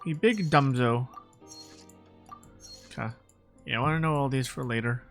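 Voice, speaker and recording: A woman's voice: very deep voice, moderate pace. A woman livestreaming a game, chatting casually and swearing as she mutters to herself, with game music behind. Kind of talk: casual, sweary livestream chat while playing.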